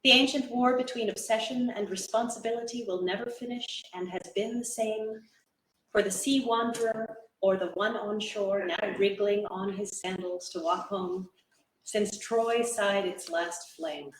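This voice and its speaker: A woman giving a dramatic poetry reading as an actor, with measured, deliberate phrasing. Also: mid-range voice, steady tone. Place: indoor event room with audience. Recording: echoey room, distant mic, faint noise.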